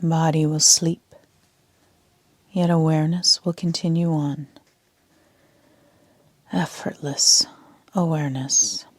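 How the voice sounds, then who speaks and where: A woman leading a sleep meditation as a yoga nidra instructor, speaking in a soft, half-whispered, calm and soothing way. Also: sits low, takes it slowly, long pauses, no echo.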